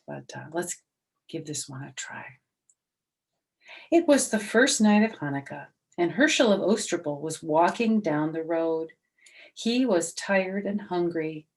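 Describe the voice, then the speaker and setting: A woman with a fairly low voice leads a read-aloud storytime. She tells things simply, as if to young children, and slips in chatty explanations. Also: a little mellow. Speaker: storyteller reading a picture book aloud, former school teacher.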